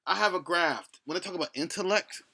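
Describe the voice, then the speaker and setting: Middle-aged man, lisp, gravelly fry, sharp forceful tone, blunt rambling rant, salty language. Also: high voice, fast talker, slight background noise. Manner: blunt, cursing, digressive, often breaking off mid-thought. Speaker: solo video blogger. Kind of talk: rambling opinion rant to camera.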